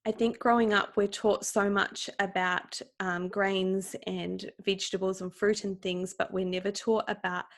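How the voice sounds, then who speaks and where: A woman's voice: medium pitch, little pitch movement, unhurried, few pauses. A young woman from New Zealand with a New Zealand accent, hosting a solo health podcast in an upbeat, gushing, casual way with some fillers. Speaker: podcast host.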